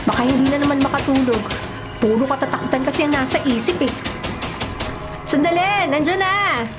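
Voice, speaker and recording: A woman plays a big sister in a radio horror drama. Her voice is high, chatty and a little bossy, confident and dismissive, with clear music underneath. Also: fairly quick, lightly acted. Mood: mildly annoyed. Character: confident, dismissive older sister of a young boy. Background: background music.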